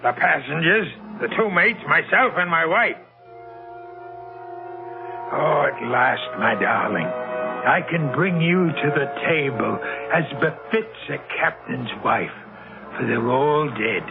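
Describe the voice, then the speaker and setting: A man's voice: a little high, lively intonation, gravelly, heavily acted. An actor in an old-time radio drama playing a murderous, obsessed sea captain, brooding and theatrical, possessively devoted to his wife. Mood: darkly obsessive. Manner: brooding, theatrical, with slow drawn-out phrases. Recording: muffled radio recording with music underneath.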